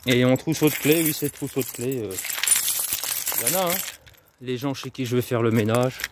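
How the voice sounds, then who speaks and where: A man's voice: medium pitch, brisk. A man from France chatting casually to camera while walking outdoors, rambling and upbeat about personal development. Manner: chatty, rambling, self-promoting, laughs at himself. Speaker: YouTuber and personal development coach. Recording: faint street noise and jangling keys.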